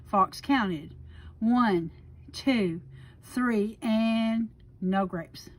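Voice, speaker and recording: Slow, expressive Southern woman reading aloud. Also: on the high side. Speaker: library storyteller. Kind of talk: children's storybook read-aloud.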